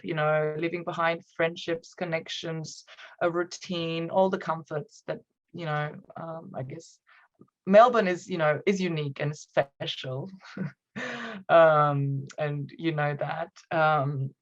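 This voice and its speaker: Guest, 30s woman, Romanian accent, low voice, reflective and halting, muffled call audio. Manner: reflective, hesitant, laughs often. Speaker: podcast guest, copywriter. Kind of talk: conversational interview.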